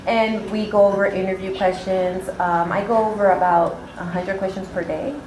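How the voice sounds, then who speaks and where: A woman's voice: medium pitch, level tone, normal speed, crowd noise. Chatty young woman in an echoey studio. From California. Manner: open, chatty, many fillers. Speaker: pageant titleholder guest. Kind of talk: casual talk show interview.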